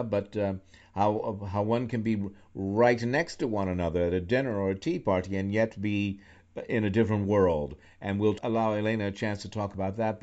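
Lower-voiced male radio host, lo-fi. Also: a little mellow. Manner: rambling, thoughtful, many restarts. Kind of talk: radio interview, conversational questions. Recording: slightly dull lo-fi radio sound.

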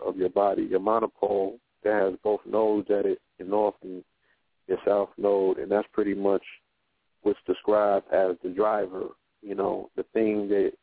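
A man with a low, flat voice talks in a reflective, unhurried way on a radio roundtable. He often checks in with his listeners as he goes. His voice is thin and dry, as if it comes down a phone line. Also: no room sound. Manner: reflective, repeats "you know what I'm saying". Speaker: radio show guest. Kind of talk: casual roundtable talk.

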